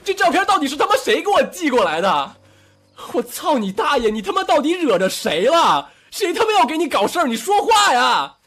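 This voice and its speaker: Guy voicing angry hurt little brother in an audio drama, raw and sweary, really animated pitch, faint music behind. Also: fairly quick, heavily acted. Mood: furious, hurt. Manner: confrontational, swearing, emotionally raw. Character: hot-tempered, wounded younger brother, foul-mouthed young man. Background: background music.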